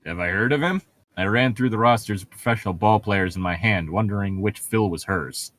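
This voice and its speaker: A man's voice: on the low side, a little fast, a bit bright. A man co-hosting a read-aloud, delivering prose and breaking off for irreverent, sarcastic, sweary commentary. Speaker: co-host reading a novel aloud with commentary. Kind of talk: reading aloud with casual joking commentary.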